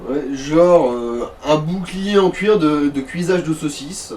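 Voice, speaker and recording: A man with a fairly high voice banters over a video game as the second commentator. He jokes in absurd exaggerations, sitting a little away from the mic with some background noise. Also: slow pace, darkish tone, distant mic. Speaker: let's play co-commentator. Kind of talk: casual banter over gameplay.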